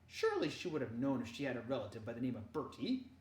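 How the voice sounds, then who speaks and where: A woman reading a children's novel aloud in an animated storyteller's way, dramatizing the dialogue. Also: very deep voice, fast talker. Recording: roomy echo.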